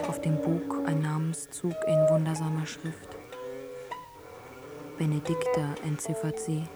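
A woman reads scripted literary narration in measured short phrases, as in an experimental radio play. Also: deep voice. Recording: music behind the voice.

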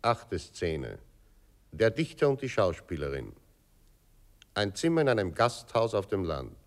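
A man's voice: on the low side, big pitch swings, measured pace. A man narrating a radio play, reading scene headings and stage directions in a scripted style.

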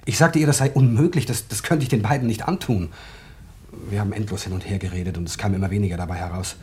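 An actor playing a married man in a German radio drama, confessing an affair in a halting, defensive, troubled way. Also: on the low side, darkish tone, natural voice. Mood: confessional, troubled.

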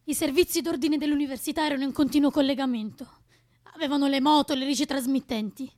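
An actress playing a shaken, reproachful young student protester in a radio drama, emotional and accusing. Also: female voice, sits high, fairly even intonation, fast, darkish tone, natural voice. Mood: tense recollection. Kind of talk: naturalistic radio drama acting.

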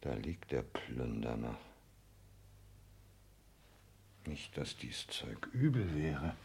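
An actor playing a pompous, self-important would-be seducer in a 1960s German radio play, declaiming to himself in a husky, gravelly voice. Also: male voice, very deep voice, very animated, takes it slowly, darkish tone, a little hiss or hum, exaggerated voice. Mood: musing, disdainful.

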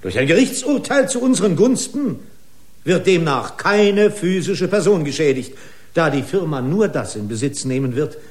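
An actor playing an indignant, officious company lawyer pleading in court with pompous, long-winded legalese in a radio drama. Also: male voice, a little high, big pitch swings, moderate pace, a little mellow. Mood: haughty, formal. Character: indignant, officious corporate attorney arguing in court. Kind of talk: German radio drama acting.

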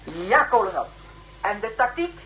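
A woman's voice: mid-range voice, very animated, a little slow, a bit bright, a little hiss or hum, poor audio. A woman in a radio play playing a dutiful spaceship officer who reports in formal military phrases. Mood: formal, urgent. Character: dutiful female course-command officer on a spaceship.

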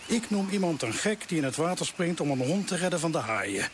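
A blunt, sceptical military officer. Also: male voice, a little high, big pitch swings, fairly quick. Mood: scornful. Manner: forthright, scornful. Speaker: actor in a radio play. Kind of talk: Dutch radio drama acting. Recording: noisy old radio play recording.

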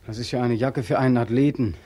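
A man's voice: mid-range voice, steady tone, fast, warm tone, dry recording, natural voice. A man playing a bitter, haunted soldier back from war in a 1940s radio drama, brooding and intense. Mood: weary, bitter. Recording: old 1940s radio studio recording.

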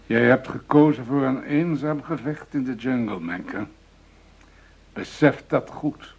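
An actor playing a stern, matter-of-fact police superior with a gravelly, husky voice in a radio drama. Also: male voice, mid-range voice, takes it slowly, a little mellow. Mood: stern, warning. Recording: dull radio play recording.

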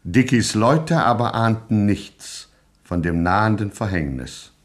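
A man's voice: on the low side, expressive, unhurried. An actor playing the mock-dramatic male narrator of a gangster satire, in scripted radio style.

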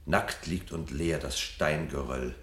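A man's voice: a bass voice, takes it slowly, a bit bright, heavily acted. An actor playing an agitated, bitter, rebellious fireman and husband in a 1970s radio play, speaking in an intense, declamatory way. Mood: solemn, mournful. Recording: roomy studio radio play sound.

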